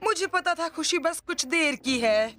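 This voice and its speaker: A woman's voice: extremely high pitch, lively intonation, normal speed, fairly bright tone, soft background music. A woman voicing a sarcastic, cocky young boy who grumbles like a put-upon older brother in a Hindi cartoon dub. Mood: grumbling.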